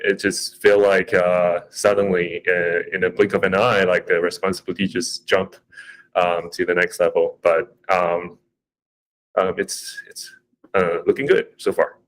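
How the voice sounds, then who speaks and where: A man's voice: deep voice, flat delivery, takes it slowly, a bit bright, low-quality recording. A man from Malaysia introducing himself with a hesitant, modest manner and many fillers. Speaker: CTO. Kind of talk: casual chat.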